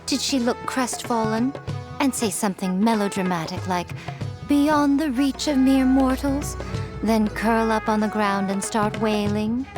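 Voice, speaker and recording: A woman's voice: fairly high pitch, fairly even intonation, measured pace, lightly acted. A woman voicing a sly, elegant noblewoman in a video game, speaking with a silky, amused, teasing drawl. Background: game music.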